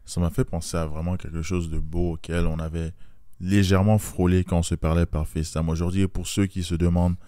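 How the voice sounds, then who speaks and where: A man's voice: sits low, little pitch movement, a little fast, warm tone, no room sound, studio quality. A man hosting a podcast, asking earnest probing questions and adding disclaimers. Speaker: podcast host. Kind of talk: podcast interview.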